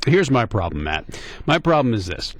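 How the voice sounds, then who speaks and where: A man's voice: medium pitch, big pitch swings, moderate pace, no echo. A middle-aged American man hosting talk radio, telling a casual story in a conversational, questioning way and repeating points for emphasis. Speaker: radio talk show host. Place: radio studio.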